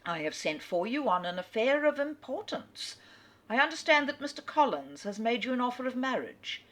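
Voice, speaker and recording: A woman reading aloud as a calm, dryly ironic middle-aged father. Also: on the high side, moderate pace, voice pushed up. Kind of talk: dramatic reading.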